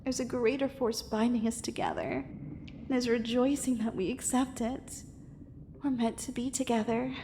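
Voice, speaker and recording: A woman's voice: a little high, animated, moderate pace, warm tone, in a large hall, a little hiss or hum. A woman voicing a possessive, tender mermaid in an ASMR romance roleplay, with formal, flowery, coaxing and intimate speech. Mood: enraptured. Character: possessive, adoring female angler mermaid, dangerous and tender.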